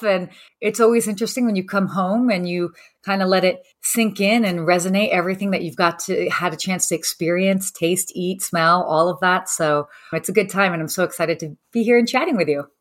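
A woman's voice: medium pitch, fairly quick, fairly bright tone. A woman, a podcast guest who grew up in the United States and Saudi Arabia, talking in an open, upbeat and reflective way. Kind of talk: casual interview talk.

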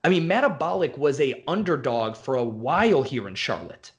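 A man's voice: mid-range voice, lively intonation. A man who owns a gym, talking bluntly and profanely in a casual podcast conversation. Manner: blunt, profane, fast-flowing rants. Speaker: gym owner.

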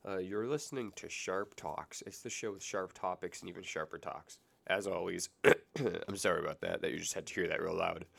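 Low creaky young man with a stuffy nose. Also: moderate pace, fairly bright tone. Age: around 20. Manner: rambling, goes off on tangents, self-correcting, joking sarcasm. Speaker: podcast host. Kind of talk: casual solo podcast chat. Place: small basement room.

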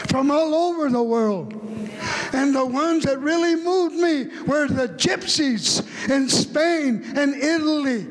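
A middle-aged man from the United States preaching a fervent revival sermon, repeating phrases and rising to shouts. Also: extremely high pitch. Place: large church hall. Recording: long hall reverb.

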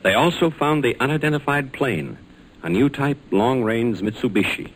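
A man's voice: mid-range voice, expressive, moderate pace. An American man announcing and narrating in a scripted, formal and emphatic 1940s radio style. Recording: noisy old 1940s radio recording.

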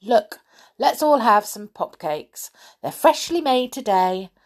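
A woman voicing a kind fairy girl who calms her friends and offers cakes, in a storybook reading. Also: fairly high pitch, slow, voice pushed up. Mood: coaxing. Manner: soothing, coaxing.

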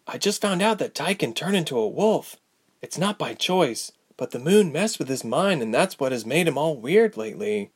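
Storybook role: a man doing an injured young woman, soft and strained, voice pushed well up. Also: fairly high pitch, big pitch swings, moderate pace, husky, voice pushed up, heavily acted. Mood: pained. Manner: strained, quiet, pained. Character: wounded young woman, tired and guarded.

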